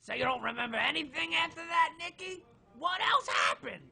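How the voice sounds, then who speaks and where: A man playing a gruff, impatient, foul-mouthed police chief in a crime audio drama, curt and raspy. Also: extremely high pitch, expressive, moderate pace, loud music behind the voice, heavily acted. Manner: curt, barking orders.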